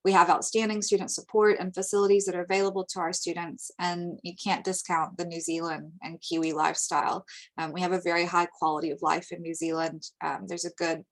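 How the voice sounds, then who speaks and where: A woman's voice: on the low side, fairly quick. American woman presenting, lots of ums. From United States. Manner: frequent ums, steady informative delivery. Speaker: international recruitment presenter. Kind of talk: webinar presentation over slides.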